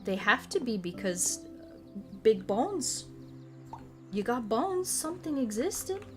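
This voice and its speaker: A woman's voice: on the high side, slow pace. Enthusiastic, rambling woman streamer over music. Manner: enthusiastic, animated, profane, rambling tangents. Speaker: video game streamer. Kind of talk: casual rambling stream chat. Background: background music.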